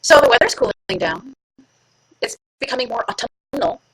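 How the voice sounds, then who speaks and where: A woman's voice: sits high, animated, normal speed, fairly bright tone, husky, low-quality recording. A woman hosting a live stream from home, chatting casually and playfully with her viewers and teasing herself. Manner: chatty, playful, self-mocking. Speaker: livestream host.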